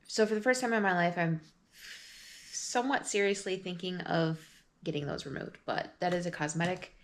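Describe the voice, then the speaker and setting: A woman chatting casually in a rambling home vlog, her voice deep and groggy from just waking up. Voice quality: deep, gravelly. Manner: rambling, chatty, full of fillers. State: groggy morning voice. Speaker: vlogger. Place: her apartment.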